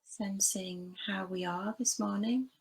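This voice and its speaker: Creaky-voiced woman guiding a meditation. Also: mid-range voice, steady tone, rough recording. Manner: gentle, unhurried instruction with long pauses. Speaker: meditation teacher. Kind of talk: guided body scan meditation.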